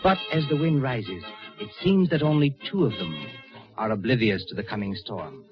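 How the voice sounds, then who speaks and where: Male actor as suave, ominous radio mystery host, measured and formal, muffled old recording with music behind. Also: medium pitch, lively intonation, lightly acted. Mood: descriptive, measured. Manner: measured, formal, dramatic delivery. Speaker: narrator and program host. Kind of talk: 1940s radio suspense narration.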